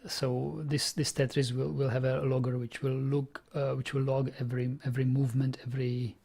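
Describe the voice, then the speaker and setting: A man's voice: mid-range voice, little pitch movement, moderate pace, creaky, a little mellow, husky. A young man with a Czech accent, chatting casually on a programming stream, often repeating words as he thinks aloud. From Czech Republic. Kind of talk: casual live-stream chat.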